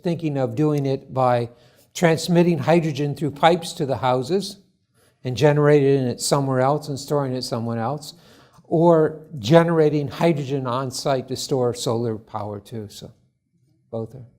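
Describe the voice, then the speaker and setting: A man presenting his startup at a conference forum, answering judges' questions in a halting, earnest way full of restarts. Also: medium pitch, darkish tone. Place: conference hall.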